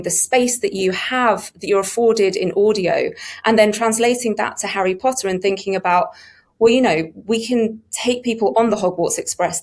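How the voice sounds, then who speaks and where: Woman being interviewed, chatty and keen, stresses her points, mid-pitched voice. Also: a little mellow. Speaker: audiobook publishing interviewee. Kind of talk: conversational interview answers.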